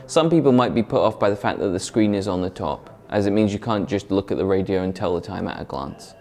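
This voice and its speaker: A young man with a somewhat low voice reads a product review script. His delivery is clear, even and friendly, in the manner of a retail presenter.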